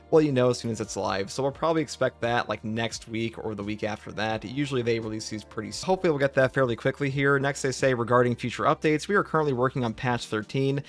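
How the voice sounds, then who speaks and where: A man hosting a gaming channel, casually commenting and reading out update notes. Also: medium pitch.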